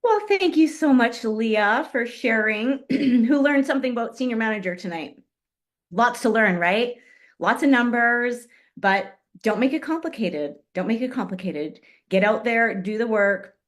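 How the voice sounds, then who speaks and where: Woman giving a pep-talk style training, coughy strained voice, clears her throat a lot. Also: on the high side, expressive, unhurried. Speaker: guest speaker on a business call. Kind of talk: upbeat motivational training talk.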